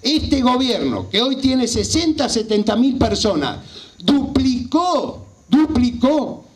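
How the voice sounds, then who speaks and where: High-pitched Argentine man giving a political speech. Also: sing-song, takes it slowly. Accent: Rioplatense Argentine Spanish. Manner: emphatic, repeats phrases for effect. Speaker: local party politician. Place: small outdoor rally stage at night. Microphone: handheld microphone.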